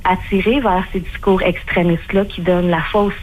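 A woman's voice: fairly low pitch, fairly even intonation, moderate pace, phone-quality sound. A middle-aged woman with a Québécois accent, a clinical spokesperson answering radio interview questions with many fillers and restarts. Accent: Québécois French.

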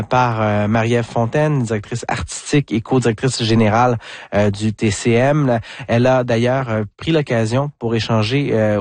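A man presenting live morning radio as host, pausing as he goes. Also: mid-range voice, a little mellow, no room sound. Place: radio studio.

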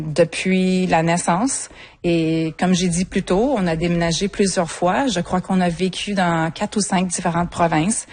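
A middle-aged woman from Montreal whose first language is English, speaking in a somewhat low voice. She answers interview questions in a relaxed, conversational way, pausing often on fillers and restarting her sentences. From Montreal, Quebec. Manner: hesitant, many "euh" fillers and restarts. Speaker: guest, association coordinator.

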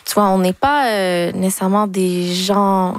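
Brief: young Québécois singer, relaxed talk, dry close sound. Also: female voice, mid-range voice, measured pace, no room sound. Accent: Québécois French. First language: French. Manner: relaxed, mixes English words into French. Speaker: R&B singer, interview guest. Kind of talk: casual interview conversation.

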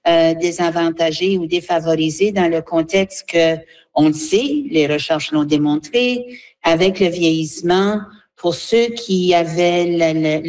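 A woman's voice: on the low side, darkish tone. A woman, speaking earnestly as a guest in an interview, with frequent hesitations and restarts. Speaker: seniors' association representative, guest. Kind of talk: radio interview, earnest advocacy.

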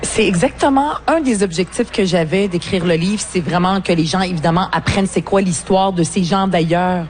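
A woman's voice: fairly low pitch, moderate pace, hardly any pauses, darkish tone, slight background noise. Earnest Québécois woman in her forties. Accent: Québécois French. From Lebanon. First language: Arabic. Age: 40s. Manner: earnest, reflective, many hesitations. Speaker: politician and author guest. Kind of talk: interview at a book fair. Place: book fair.

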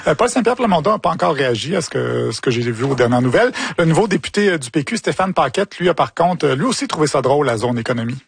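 A man's voice: fairly high pitch, lively intonation, gravelly, husky, dry recording. Joking Québécois man hosting a news roundup. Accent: Québécois French. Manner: joking, quick asides. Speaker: host.

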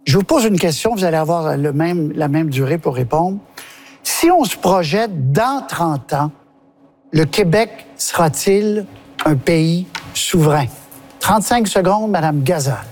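A man with a Quebec French accent moderating a political debate, briskly keeping time and handing the floor to each speaker. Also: a little high, expressive, a little mellow. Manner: brisk, keeps time, hands the floor over.

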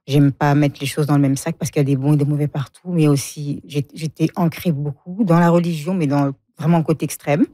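A woman's voice: low voice, steady tone, fairly quick, hardly any pauses, warm tone, dry recording, studio quality. A woman in her forties from Congo, a guest speaking frankly about her life and often laughing. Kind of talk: emotional personal interview.

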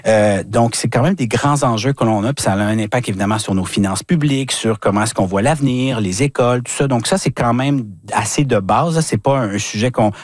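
Male guest, mid-pitched, dry close sound, halting, filler-heavy chat. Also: no echo. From New Brunswick, Canada. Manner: halting, many fillers and restarts. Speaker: regional guest commentator. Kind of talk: radio interview chat.

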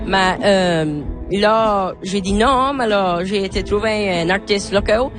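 A man's voice: high voice, takes it slowly, over music. A teenage boy, talking casually and hesitantly. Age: teens.

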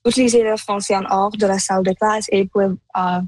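A woman in her twenties, a native Punjabi speaker from Canada, answers interview questions thoughtfully. She pauses and hesitates with fillers. Her voice is mid-pitched and dry. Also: steady tone, darkish tone, no echo. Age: 20s. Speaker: university student guest.

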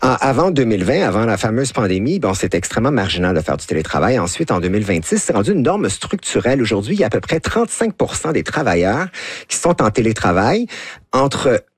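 A man's voice: on the low side, quick pace, husky, dry recording, clean studio sound. A man with a Québécois accent, explaining things with animation as a columnist on a radio panel. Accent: Québécois French. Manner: animated, explanatory, laughs easily.

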